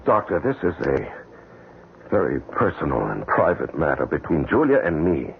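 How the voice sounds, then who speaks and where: Deep, suave scheming widower. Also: male voice, big pitch swings, normal speed, gravelly, a little hiss or hum, full character voice. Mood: guarded. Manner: suave, theatrical, insincerely solemn. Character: smooth, scheming rich widower feigning grief. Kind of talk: old-time radio mystery acting. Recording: muffled narrowband radio drama, music behind.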